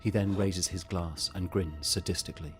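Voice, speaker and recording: A man narrating a scripted audio drama in a measured, descriptive way. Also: sits low, fairly even intonation, faint music behind.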